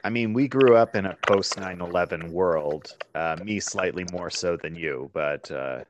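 A man's voice: low-pitched, normal speed, slight background noise. A man in his thirties hosting a podcast, chatting easily and agreeably with a guest. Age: 30s. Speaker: podcast host. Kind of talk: casual chat.

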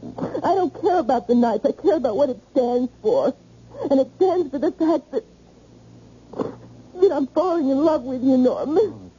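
Upset girlfriend in an old radio play, swingy high voice, really insistent, muffled tape. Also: lively intonation, a little slow, dark tone. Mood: hurt, indignant. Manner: emphatic, accusing, plain-spoken. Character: hurt, insistent young woman in love, confronting boyfriend. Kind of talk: old-time radio drama acting.

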